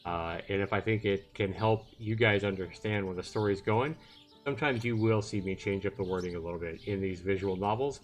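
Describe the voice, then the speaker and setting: A man's voice: on the low side, fairly quick. A male livestreamer reading a visual novel aloud and adding casual commentary. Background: background music.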